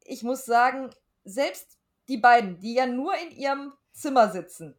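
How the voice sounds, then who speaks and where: Higher-voiced woman in casual conversation. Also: expressive, unhurried. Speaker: podcast co-host. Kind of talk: casual podcast chat.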